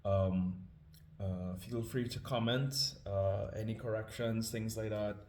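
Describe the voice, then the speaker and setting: A Trinidadian man with a fairly low voice talks casually and humbly, stopping often to hunt for words. The recording carries a noticeable room echo. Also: steady tone, a little slow, darkish tone, slight background noise. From Trinidad and Tobago. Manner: hesitant, many ums, humble and apologetic. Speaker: scripture reader. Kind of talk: casual spoken introduction.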